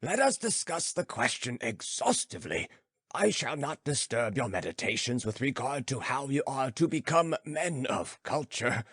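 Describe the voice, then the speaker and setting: A man's voice: mid-range voice, very animated, moderate pace, fairly bright tone, slightly rough, dry recording, studio quality, voice pushed up, full character voice. A man voicing an old philosopher, lofty and teasing, questioning emphatically in an audiobook story. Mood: ironic, instructive. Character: old philosopher sage, lofty, teasing, growling, addressing youths.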